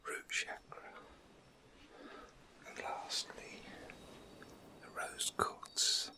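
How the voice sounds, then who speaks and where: A man in his fifties giving an ASMR reiki relaxation session in a breathy, husky whisper, soothing and unhurried, with short phrases and long pauses. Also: bright, forceful tone. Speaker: reiki healer. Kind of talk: ASMR guided relaxation, personal attention. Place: small room at home, indoors.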